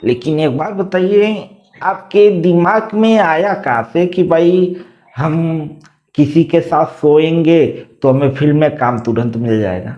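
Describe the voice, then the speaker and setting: A man with a fairly high voice talks casually as a vlog host handling a phone call. He is probing and circles back over his questions. The sound is somewhat dull and lo-fi. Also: a little slow, darkish tone.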